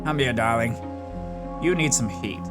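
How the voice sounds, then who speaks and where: Comic-dub drama: male actor as courtly gentleman hunter, smooth-mannered with quiet menace, medium pitch, prominent music bed. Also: animated, moderate pace, natural voice. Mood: soothing, courtly. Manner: genteel, wordy, calls people dear and darling. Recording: voice over clear background music.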